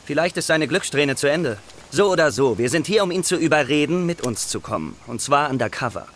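A man's voice: on the high side, animated, fairly quick, a little hiss or hum. A man voicing a nervous, wordy, brainy soldier who worries, in a German-dubbed video game cutscene. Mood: worried, explanatory. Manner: hesitant, wordy, anxious.

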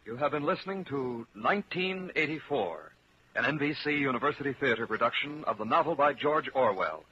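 A man's voice: mid-range voice, lively intonation, a little fast, slightly rough. Formal male announcer on muffled old radio. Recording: muffled vintage 1940s radio recording, faint noise.

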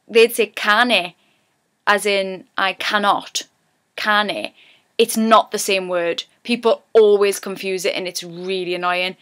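A bright-toned woman speaking with a Geordie accent. She is chatty and rambling, and often corrects herself mid-sentence. She talks casually, like someone explaining her local words to viewers. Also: medium pitch. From Newcastle, England. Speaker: vlogger teaching dialect. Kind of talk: casual dialect lesson.

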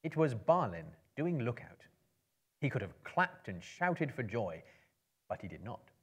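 A man in his thirties giving a measured, expressive live dramatic reading as a storyteller. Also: medium pitch, husky. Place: indoor book-lined venue before a small live audience.

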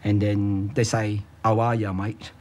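Middle-aged man from Vietnam, halting. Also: on the low side, little pitch movement, darkish tone. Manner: simple short sentences, halting. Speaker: interviewee, refugee. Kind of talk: documentary interview. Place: office room, indoors.

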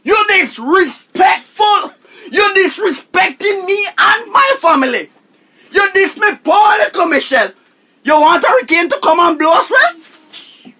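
Man acting a high-pitched, blustering Jamaican householder. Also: sing-song, measured pace, pushed and bright, exaggerated voice. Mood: outraged. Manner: emphatic, declamatory, repetitive scolding. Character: blustering, tight-fisted Jamaican householder, indignant and pompous. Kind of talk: radio family comedy-drama acting.

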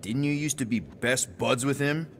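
A man's voice: medium pitch, normal speed, slight background noise, lightly acted. An actor playing a casual, joking male space captain.

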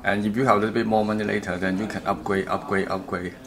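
A middle-aged man who speaks English as a second language, explaining a product in an informal, halting, repetitive way as a distributor. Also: lowish voice, little pitch movement, a crowd in the background. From Canada, based in Vancouver. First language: not English. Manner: halting, repeats himself. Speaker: audio kit distributor. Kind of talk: informal product walkthrough interview. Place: hotel room at an audio show, indoors.

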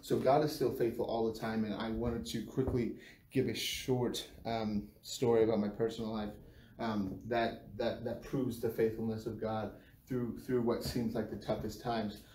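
A mellow-voiced American man speaks informally, like a church devotional on a livestream. He halts and doubles back on phrases. The sound is roomy and a bit distant from the phone, with faint noise. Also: lowish voice, level tone. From United States. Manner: halting, repeats phrases as he goes. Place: small bare-walled room indoors. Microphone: phone camera a few feet away.

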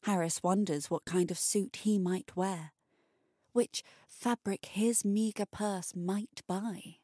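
A female narrator reading scripted fiction. She takes her time, with measured, deliberate phrasing. Her pitch is medium and the sound is dry, with no room on it. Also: fairly even intonation, mellow, slightly rough, dry recording. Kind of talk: scripted short-story narration.

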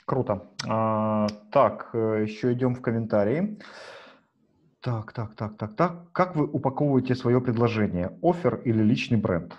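A man in his thirties hosting a live video interview, reading out viewer comments with brisk fillers between questions. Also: medium pitch, a little mellow. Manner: brisk, filler-heavy moderating. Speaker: interview host. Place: home room, plain wall. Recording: dull lo-fi video call audio.

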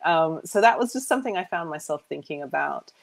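Low-voiced woman interviewing on a video call. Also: expressive, brisk, vocal fry. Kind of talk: casual video-call conversation. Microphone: wired earbuds.

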